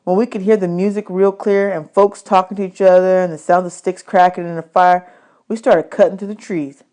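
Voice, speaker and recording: A woman's voice: lowish voice, fairly even intonation, a little fast, dark tone. A woman narrating a children's novel as a read-aloud audiobook. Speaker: audiobook reader.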